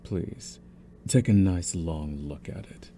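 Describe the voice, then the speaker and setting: A man's voice: sits low, takes it slowly, mellow, a little hiss or hum. A man playing a young, possessive mafia boss in a scripted ASMR audio roleplay, taunting and condescending in a theatrical, menacing way. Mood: mocking amusement. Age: young adult.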